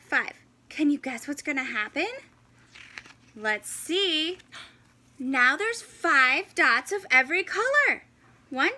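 Casting: woman in her thirties, children's story-time reader, very high lively voice, encouraging and exclaiming, noisy home-style recording. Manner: animated, exclaiming, encouraging, with audible gasps. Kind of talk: interactive children's picture book read-aloud. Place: indoors, in front of a fabric backdrop.

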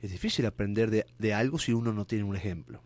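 A man's voice: lowish voice, fast, darkish tone, no echo. A man hosting a radio interview, asking probing, reflective questions. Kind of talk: conversational interview.

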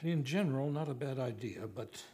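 A man's voice: mid-range voice, expressive, normal speed, warm tone, husky. A man playing an exasperated, formal radio show host, announcing in a vintage radio style. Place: radio studio. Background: music.

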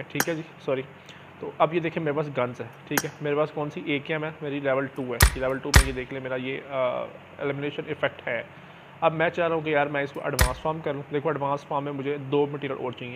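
A man gives a casual, explanatory game tutorial, often adding little check-in tags as he goes. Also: medium pitch. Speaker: gaming channel host. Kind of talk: casual screen-recorded game tutorial commentary. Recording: dull lo-fi mic with clear background noise.

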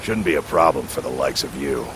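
A man's voice: very deep voice, animated, a little fast, background noise. A male actor voicing a kindly, weary older courier in a game, plainly spoken. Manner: folksy, plain, good-natured.

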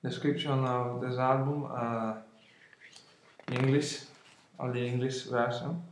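A man in his twenties from Italy, speaking English with an Italian accent, unboxing an album at home, halting with many fillers and apologies. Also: medium pitch, level tone, echoey room, slight background noise. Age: 20s. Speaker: vlogger. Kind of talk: casual unboxing vlog. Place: room at home, indoors. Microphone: webcam at arm's length.

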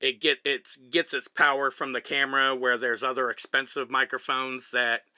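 A man's voice: mid-range voice, moderate pace, dry recording. A man in his thirties with a Texan accent, casually walking through his camera setup step by step inside a truck cab. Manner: relaxed, chatty, explains step by step while handling gear. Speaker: vlogger. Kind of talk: casual gear demonstration. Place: small living cabin of a truck, indoors.

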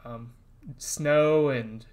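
A man narrating a casual voice-over update on a construction project, explaining relaxed and plainly with frequent ums and uhs. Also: mid-range voice, drawn-out words. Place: voice-over, not recorded on site.

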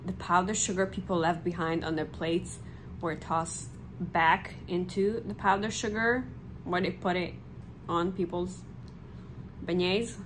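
A woman in her thirties chatting casually and haltingly to a handheld camera in a hotel room, as a travel vlogger. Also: fairly low pitch, low-quality recording. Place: small indoor hotel room. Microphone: phone or camera at arm's length. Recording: noticeable background noise.

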